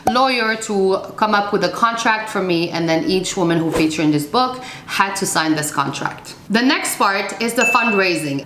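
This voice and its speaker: A woman's voice: fairly low pitch, fairly bright tone. Encouraging Moroccan-born woman in an echoey room. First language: French and Arabic. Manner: upbeat and encouraging, speaks directly to viewer. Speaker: vlogger sharing self-publishing advice. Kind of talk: casual how-to talk to camera. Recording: echoey room with faint noise.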